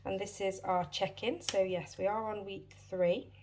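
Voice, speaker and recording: A woman's voice: medium pitch, steady tone, measured pace, darkish tone, a little hiss or hum. A British woman hosting a casual budgeting vlog, a bit wheezy and short of breath as she gets over a chest infection, chatty and apologetic with many ums. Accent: British English. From United Kingdom. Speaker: budgeting channel host.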